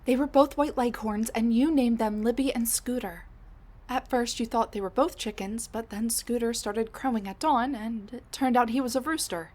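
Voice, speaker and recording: A woman voicing a scatterbrained older woman scientist who has traveled back in time to meet her husband. She stammers and restarts, full of fillers, and sometimes drops to a hushed mutter. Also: fairly high pitch, normal speed, lightly acted. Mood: flustered, pleading. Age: middle-aged. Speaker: audio roleplay performer. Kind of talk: audio roleplay drama.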